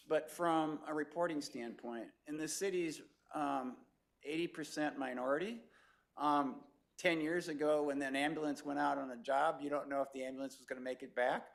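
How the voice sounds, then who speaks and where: A man's voice: fairly high pitch, vocal fry. A middle-aged man introducing himself as a city manager candidate at a public council meeting, talking conversationally with fillers. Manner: conversational, with fillers and short laughs. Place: council chambers.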